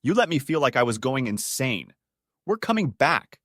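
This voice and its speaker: A man's voice: fairly high pitch, huge pitch swings, brisk, no room sound, studio quality, pitched above the performer's own voice, exaggerated voice. A man voicing an angry, menacing husband and father who snaps and sneers in a dramatic story. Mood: angry, accusing. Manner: shouting, snapping, sneering.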